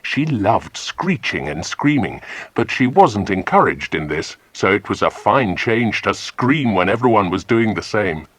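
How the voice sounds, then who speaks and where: A man's voice: lowish voice, sing-song, measured pace, fairly bright tone, no echo. A man narrating a children's audiobook as a storyteller.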